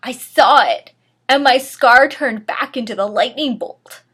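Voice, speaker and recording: Woman playing teenage boy wizard, high-pitched, loud, anguished, overwrought, melodramatic storybook delivery. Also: measured pace, slightly rough. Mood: agitated. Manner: shouting, exclaiming, overwrought.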